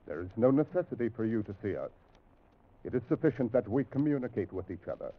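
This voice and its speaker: An actor playing a stern alien spaceship captain in an old radio drama, speaking in formal, measured, imperious declarations. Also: male voice, medium pitch, animated, close to an everyday voice. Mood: formal, commanding. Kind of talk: 1950s radio science fiction drama acting. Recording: muffled, tinny old radio recording.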